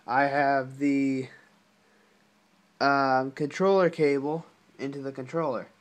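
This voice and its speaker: A young man talking casually and haltingly to viewers, as in an informal gaming vlog. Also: mid-range voice, steady tone. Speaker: gaming video creator. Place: small indoor room at a desk.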